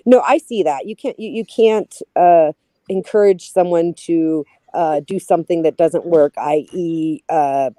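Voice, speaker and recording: A woman's voice: on the low side, measured pace, mellow, no echo. A woman in recovery choosing her words carefully in a casual debate, often stammering as she clarifies. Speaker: recovering alcoholic.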